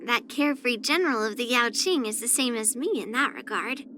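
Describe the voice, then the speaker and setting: A woman's voice: a little high, animated, fairly quick, background noise, lightly acted. A woman voicing a spirited, proud young swordswoman in an anime-style video game, speaking in an earnest, blunt, slightly pouty way. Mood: matter-of-fact, confident.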